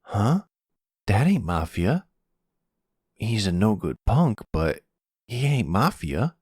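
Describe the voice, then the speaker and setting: Man voicing an earnest young son, lively pitch. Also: slow, slightly rough, no echo, clean studio sound, heavily acted. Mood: disbelieving. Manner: earnest, casual, youthful. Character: earnest young man, mob boss's partner's troubled son. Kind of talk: roleplay audio drama.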